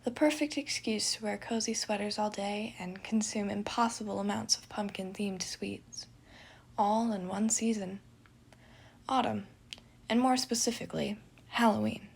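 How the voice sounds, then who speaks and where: Casting: female storyteller for scripted fiction narration, expressive, with a creaky, husky voice. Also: medium pitch, a little slow.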